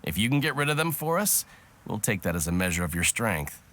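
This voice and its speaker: Game soldier: deep, direct, gruff veteran keen for a fight, military delivery. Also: male voice, big pitch swings, brisk, a bit bright. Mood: challenging. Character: blunt, deep-voiced male soldier, battle-eager veteran. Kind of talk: video game voice acting.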